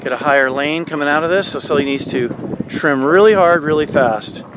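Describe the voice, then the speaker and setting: A man with a slightly high voice coaches a sailing team from a motorboat. He mixes calm explanation with shouted commands to the sailors. Wind noise roars across the camera mic. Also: fairly quick. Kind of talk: live on-the-water coaching commentary. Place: outdoors on a motorboat on a lake.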